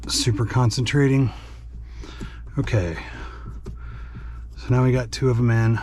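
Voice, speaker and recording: Regular guy with a mid-range voice talking thru a hands-on how-to, kinda thinking out loud, bit of noise behind him. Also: medium pitch, fairly even intonation, measured pace. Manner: thinking aloud, plainspoken. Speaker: host and truck driver demonstrating an installation. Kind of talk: casual hands-on tutorial narration.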